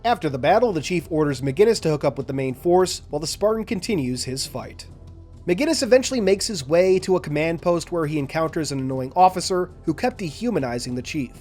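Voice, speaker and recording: Male host, scripted analysis narration, a bit high, over soft music. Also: animated, brisk. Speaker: lore video host.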